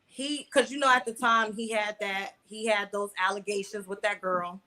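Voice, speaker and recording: A woman's voice: medium pitch, fairly even intonation, normal speed, pushed and bright. A woman in her mid twenties with an American accent, telling personal stories candidly as an interview guest, in colloquial language with repeated words for emphasis. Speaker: interview guest, online video creator.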